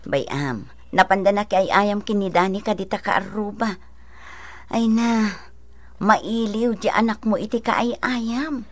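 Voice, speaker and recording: An actress playing a sensible mother and elder sister who gives gently admonishing advice in a radio drama. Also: mid-range voice, unhurried, close to an everyday voice. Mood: patient advice. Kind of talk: Ilocano radio drama acting.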